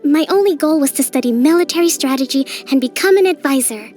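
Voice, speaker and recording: An actress voicing a young female island priestess and military strategist in a game, speaking in a gentle, composed, softly playful way. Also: high-pitched, animated, fast, soft background music. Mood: reflective. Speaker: game character. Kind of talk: game voice lines.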